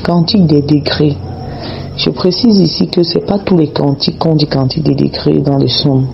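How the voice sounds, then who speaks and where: Male preacher with a head cold, gravelly and flat, slightly dull lo-fi audio. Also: fairly high pitch, a little hiss or hum. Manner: slow, repetitive, prayerful. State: has a cold, slightly hoarse. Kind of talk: prayer and Bible teaching.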